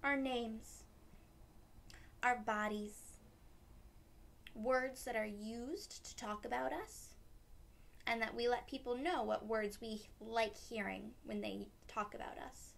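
A woman's voice: a little high, steady tone, slow, gravelly, pauses often, fairly bright tone. A young adult woman hosting a children's read-aloud, explaining things simply and expressively as she goes. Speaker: storytime host, teacher. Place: small decorated room indoors.

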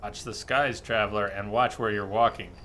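A man's voice: on the low side, steady tone, moderate pace, pushed and bright, slight background noise. A man in his thirties giving casual, easygoing live commentary as he streams a game. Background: game music.

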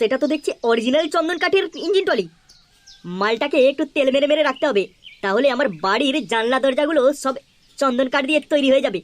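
A performer voicing a cocky, wheedling little boy DJ in a comedy cartoon, cheeky and smooth-talking. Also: high-pitched, lightly acted.

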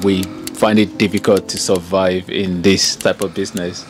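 A man's voice: low voice, little pitch movement, moderate pace. A young man interviewing a shop owner, asking questions and nodding along with short replies, recorded on a handheld mic indoors. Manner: short encouraging backchannels, laughs lightly. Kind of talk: casual interview. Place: small grocery shop, indoors. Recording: faint background music.

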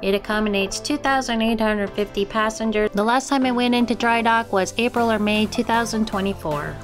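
A woman's voice: on the high side, brisk, music in the background. A woman narrating a casual guided tour as a travel vlogger, chatting to viewers with tips and questions.

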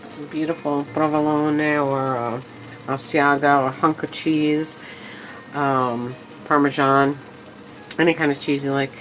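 A woman's voice: deep voice, level tone, unhurried, a bit bright, slight background noise, poor audio. A woman hosting a casual home cooking demonstration in her kitchen, chatty and enthusiastic, talking to her viewers as she works at the stove. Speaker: home cooking show host.

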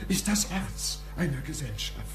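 An actor playing a self-important, formal head doctor of a private clinic in a radio drama. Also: male voice, on the high side, big pitch swings, fairly bright tone, reverberant, soft background music. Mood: pompous. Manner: formal, pompous, measured. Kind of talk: German radio drama acting.

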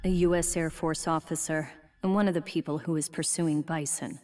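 An actress playing a focused female agent who narrates in a calm, matter-of-fact way in a game cutscene. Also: sits low, normal speed, recorded from a distance, a little hiss or hum, lightly acted. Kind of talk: video game voice acting.

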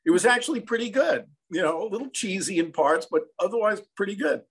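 A man's voice: high voice, lively intonation, fast, slightly rough. An American man in his sixties telling anecdotes in a reflective, conversational interview style over a video call. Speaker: songwriter and film composer, interview guest. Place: small room at home, indoors.

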